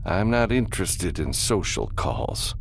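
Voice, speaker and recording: A man plays an aloof criminal businessman in a science fiction audiobook. He speaks with formal, condescending courtesy in a lively, measured delivery, with a slightly creaky edge. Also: low-pitched, slight background noise, voice pushed up, exaggerated voice. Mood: cool, polite. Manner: formal, coolly polite, condescending. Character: smooth, aloof criminal businessman in a cowboy hat.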